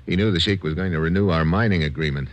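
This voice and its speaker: Low-voiced, wry American radio tough guy played by a man. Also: fast, close to an everyday voice. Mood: relaxed, wry. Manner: hardboiled, wisecracking, clipped. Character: tough, wry, unflappable American adventurer hero narrating his exploits. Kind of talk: 1950s radio drama acting and first-person narration.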